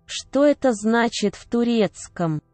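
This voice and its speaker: A woman reading short phrases aloud one at a time, each clearly enunciated and measured. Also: on the high side, expressive, a little mellow, dry recording, faint music behind. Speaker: Russian phrase reader. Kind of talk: slow repeated phrase reading.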